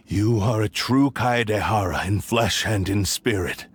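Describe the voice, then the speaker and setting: A deep, weary old sword spirit. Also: male voice, soft background music. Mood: solemn. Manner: slow, sorrowful, resigned. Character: weary ancient male sword spirit in human form. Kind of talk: video game voice acting.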